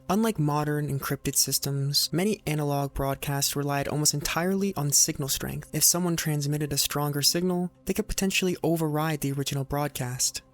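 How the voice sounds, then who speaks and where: A man reading scripted documentary narration in a measured, explanatory way, with short dramatic phrases. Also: on the high side. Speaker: video narrator. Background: background music.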